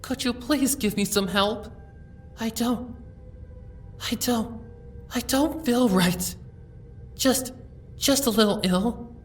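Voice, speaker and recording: A woman voicing a timid, sick young female pony who speaks softly and stammers as she pleads. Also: a little high, takes it slowly, long pauses, far from the mic, soft background music. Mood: desperate pleading. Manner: soft, hesitant, stammering, pleading. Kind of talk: fan audiobook reading.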